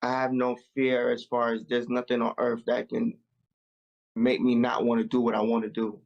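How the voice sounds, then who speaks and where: Young Jamaican-born male musician guest, gravelly, relaxed and reflective, on a video call. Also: medium pitch, moderate pace, muffled recording. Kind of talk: casual interview over video call.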